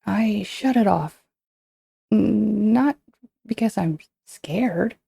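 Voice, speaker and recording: A woman playing a wary lone scavenger logging a report from a ruined bunker in a slow, halting audio-drama delivery, her voice husky and creaky. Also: medium pitch, mellow, dry recording, full character voice.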